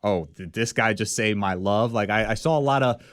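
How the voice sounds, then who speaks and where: A man co-hosting a podcast, asking questions in a relaxed, conversational way. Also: on the low side, brisk. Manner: relaxed, conversational, easygoing asides. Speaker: podcast co-host. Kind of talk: casual interview chat.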